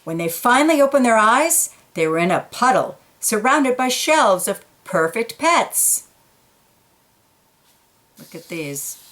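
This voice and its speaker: A woman reading a children's picture book aloud, pausing for quiet asides about the pictures. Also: on the high side, big pitch swings. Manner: slow, gentle, pointing out pictures in quiet asides.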